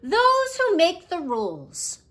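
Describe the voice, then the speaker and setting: A bossy dog from a picture book, voiced by a woman, firm and emphatic. The voice is high for all its bluster and swings about a lot. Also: huge pitch swings, slow, a bit bright, heavily acted. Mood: insistent. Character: bossy male storybook dog, gruff pack animal.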